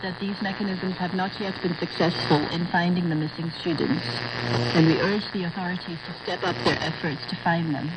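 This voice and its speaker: A woman's voice: lowish voice, steady tone, brisk. A woman delivering a formal press statement as an official spokesperson. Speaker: human rights office spokesperson. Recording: very noisy humming shortwave broadcast.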